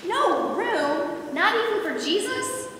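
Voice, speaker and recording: Female performer as high, lively outspoken pageant child. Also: slow, full character voice. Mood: questioning, indignant. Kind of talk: amateur readers theater skit. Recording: distant, very echoey hall with background noise.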